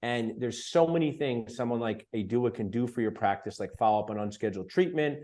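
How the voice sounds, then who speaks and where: A man's voice: medium pitch, brisk, a little mellow. Eager man joining a video call. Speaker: business owner joining a call. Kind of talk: enthusiastic testimonial.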